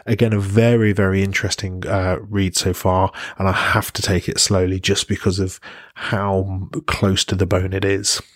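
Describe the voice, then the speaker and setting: A man with a fairly low-pitched voice shares a personal recommendation as a guest. He explains things in an informal, conversational way. The recording is dry, with very little room sound. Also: flat delivery, normal speed, dry recording. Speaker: podcast guest, GP partner reviewing books. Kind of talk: spoken personal book recommendation.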